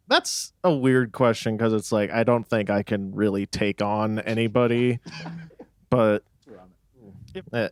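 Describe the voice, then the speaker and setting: A man's voice: lowish voice, smooth, dry recording, clean studio sound. A young man from Texas with an American accent, chatting casually and wryly in a band interview. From Texas, United States. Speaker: band musician being interviewed. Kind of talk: casual chat.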